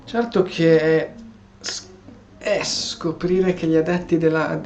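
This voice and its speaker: Male gaming streamer from Italy: highish voice, casual, exclamatory, thinking aloud, faint background noise. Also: vocal fry, a little mellow, lo-fi sound. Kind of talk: casual gameplay commentary.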